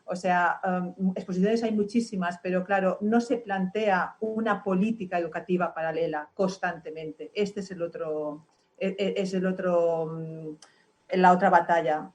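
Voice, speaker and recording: A woman panelist in an online discussion, earnest and halting, with many fillers. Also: fairly low pitch, fairly even intonation, darkish tone.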